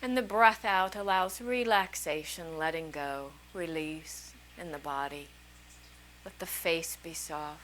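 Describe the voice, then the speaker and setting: A woman leading a guided meditation as a teacher, speaking soothingly in short, unhurried phrases with long pauses between them. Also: low-pitched, fairly bright tone, a little hiss or hum, poor audio. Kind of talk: guided meditation, slow calming instruction.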